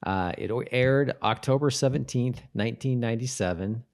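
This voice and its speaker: A man co-hosting a casual rewatch podcast, talking informally and haltingly as he corrects himself. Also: medium pitch, darkish tone. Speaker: podcast co-host.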